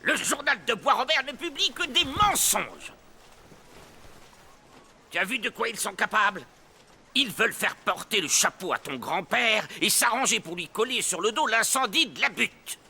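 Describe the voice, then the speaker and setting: An indignant crusading cartoon journalist declaims emphatically. His voice is very high and sharply bright, swooping with lively intonation. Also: normal speed, a little hiss or hum, full character voice. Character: fiery, indignant crusading newspaper man, fatherly. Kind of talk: French cartoon voice acting.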